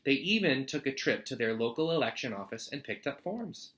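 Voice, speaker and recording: A man's voice: mid-range voice, expressive, a little fast, fairly bright tone. An American man hosting a storytime, reading a children's picture book aloud expressively to students.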